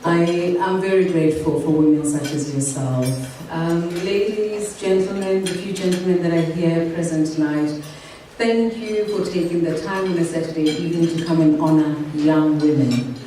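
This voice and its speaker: A South African woman giving a keynote speech at an awards evening, measured, earnest and reflective. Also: on the low side, steady tone, dark tone, a little hiss or hum. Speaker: keynote speaker. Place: event venue. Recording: echoey hall from far off.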